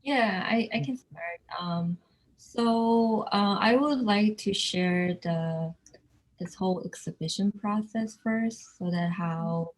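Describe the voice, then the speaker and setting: Slow, halting woman from South Korea. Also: mid-range voice, rough recording. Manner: halting, searching for words. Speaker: artist. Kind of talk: virtual artist talk.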